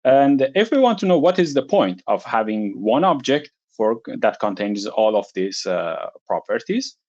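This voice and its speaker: A man with an Iranian accent giving a technical presentation as a conference speaker, in a halting way with frequent pauses. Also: mid-range voice, big pitch swings, a little mellow, no room sound, highs cut off. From Iran. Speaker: conference speaker, software engineer.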